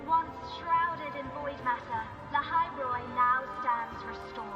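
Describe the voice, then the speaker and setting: A formal announcer in a video game story, reading an official report in a very high voice. Music plays clearly behind her measured, scripted words. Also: muffled recording. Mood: formal, solemn.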